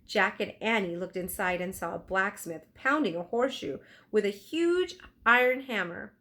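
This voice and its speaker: A woman narrating a children's storybook aloud in an expressive, deliberate storytelling style. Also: medium pitch. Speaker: teacher reading aloud.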